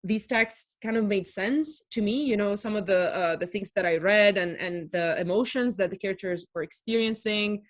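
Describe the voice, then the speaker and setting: A woman's voice: mid-range voice, normal speed, hardly any pauses. Italian-born young woman, thoughtful interview guest. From Italy. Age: young adult. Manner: thoughtful, many fillers, laughs easily. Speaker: podcast guest, doctoral researcher. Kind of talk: conversational interview.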